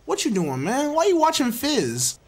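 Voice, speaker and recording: A man's voice: very high-pitched, wildly swooping pitch, normal speed, background noise, heavily acted. A man playing a bemused, awkward teenage boy with a hesitant, casual way of talking, in an improvised game dub.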